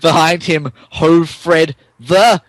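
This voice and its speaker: A man's voice: on the high side, fairly even intonation, slow pace, heavy background noise. A man giving a dramatic comic reading of fan fiction as a podcast narrator, amused and tripping over the text.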